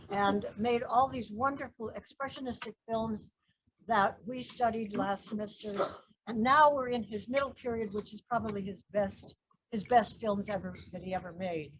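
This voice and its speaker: An older woman with a soft, husky voice that sits a little high. She talks in a loose, chatty way and pokes fun at herself. The recording is muffled and lo-fi. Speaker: film class teacher. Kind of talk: casual class introduction.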